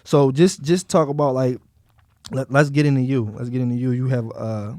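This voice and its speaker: Mellow Philadelphia man hosting, very close sound. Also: mid-range voice, fairly even intonation, normal speed, no echo. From South Philadelphia, US. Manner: slangy, loosely phrased. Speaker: interview show host. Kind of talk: casual interview conversation.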